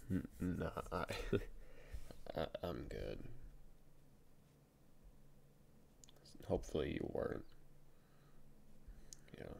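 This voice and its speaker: A man playing a shy young best friend in an ASMR boyfriend roleplay, speaking softly and haltingly with stammers, in a very deep, gravelly voice. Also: lively intonation, slight background noise, exaggerated voice. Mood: nervous, awkward. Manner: hesitant, halting, stammering, soft-spoken.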